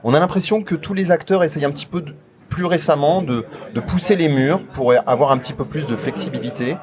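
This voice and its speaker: A man's voice: a little high, a little fast, crowd noise. A man interviewing a guest off the cuff, feeling his way through long, roundabout questions. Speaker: radio show interviewer.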